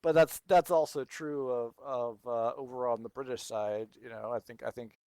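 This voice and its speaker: A man hosting a casual livestream, reading questions from chat and answering as he goes. His voice is smooth and clear, recorded close with no room around it. He grew up in Texas but carries no regional accent. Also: mid-range voice, fairly even intonation, normal speed, a little mellow, dry recording. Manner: thinks aloud, reading and answering viewer questions. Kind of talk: casual live chat with viewers.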